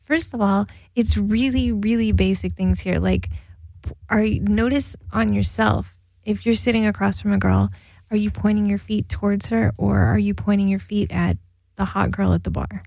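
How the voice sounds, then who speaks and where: Woman radio co-host, gravelly voice, chatty and laughing, dry studio sound. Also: medium pitch, steady tone, moderate pace, a little mellow, dry recording. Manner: chatty, laughs often, reads listener emails. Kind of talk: casual radio chat.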